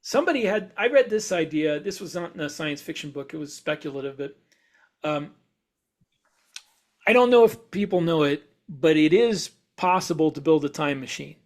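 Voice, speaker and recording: Higher-voiced man explaining casually. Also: animated, normal speed, darkish tone. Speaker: podcast co-host. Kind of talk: casual explanation of science fiction and speculative ideas.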